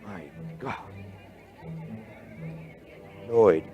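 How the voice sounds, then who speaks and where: An actor playing an excitable, bookish male scholar in a radio drama, with a husky voice and a halting, explanatory delivery. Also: lowish voice, muffled recording. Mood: amazed.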